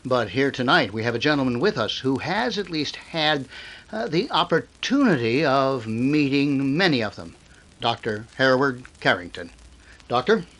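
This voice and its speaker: An actor playing a nervous, wry radio show director with a halting manner, in a 1930s-style radio drama. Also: male voice, mid-range voice, sing-song, a bit bright. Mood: formal, introducing. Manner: hesitant, throat-clearing, wry.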